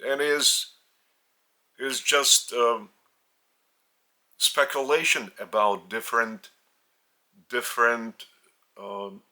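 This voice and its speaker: A man with a Lithuanian accent, a spiritual teacher speaking in short halting phrases with restarts. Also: mid-range voice, flat delivery, pushed and bright.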